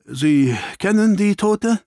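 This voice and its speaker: A man's voice: high voice, expressive, slow pace, dark tone, no room sound, pitched above the performer's own voice, exaggerated voice. Audiobook police inspector, played by a male reader: earnest, worried man, grave official manner, dry sound. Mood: troubled.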